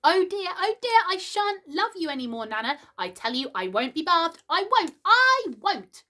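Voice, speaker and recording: A woman doing a shrill, whining little boy. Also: sing-song, normal speed, pushed and bright, full character voice. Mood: defiant wailing. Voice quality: high, shrill wail. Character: small boy, whiny and defiant.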